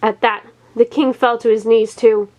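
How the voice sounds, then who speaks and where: A woman reads a children's story aloud as the storyteller. Her voice sits a little higher than average, in a narrated read-aloud style. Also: fairly even intonation, moderate pace, a little mellow.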